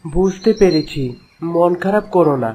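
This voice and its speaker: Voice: mid-range voice, normal speed, mellow, lightly acted. An actor voicing a protective, resolute young prince in a cartoon fairy tale, earnest and reassuring. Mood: caring.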